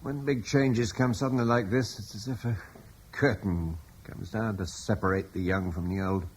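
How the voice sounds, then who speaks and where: An actor playing Julian, a steady middle-aged lord in a radio drama, speaking in a measured, thoughtful, reassuring way. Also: male voice, fairly low pitch, a little hiss or hum, natural voice. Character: steady older British lord, calm and reflective. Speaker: Julian, lord of a fortified estate.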